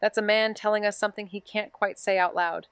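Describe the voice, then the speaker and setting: A woman's voice: mid-range voice, steady tone, fairly quick, smooth, no room sound, clean studio sound, natural voice. A woman acting a wry, introspective, guarded amateur investigator in a naturalistic mystery audio drama. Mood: focused, grave. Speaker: actor in a neo-noir mystery podcast.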